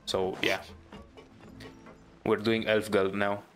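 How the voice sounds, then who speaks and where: A man's voice: fairly low pitch, level tone, slow pace, fairly bright tone. A man giving casual let's play commentary as a gaming streamer, talking off the cuff. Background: video game music.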